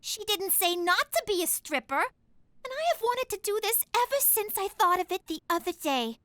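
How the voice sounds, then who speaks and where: A woman's voice: extremely high pitch, expressive, moderate pace, a bit bright, no room sound, studio quality. A woman voicing a spoiled, whiny teenage daughter who melodramatically sulks and begs.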